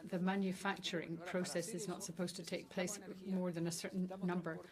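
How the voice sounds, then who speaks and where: Female conference interpreter, slightly low register, halting phrase-by-phrase delivery, clear murmur of voices behind. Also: flat delivery. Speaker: simultaneous interpreter. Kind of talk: live interpreting of formal meeting speeches. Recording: loud crowd murmur behind.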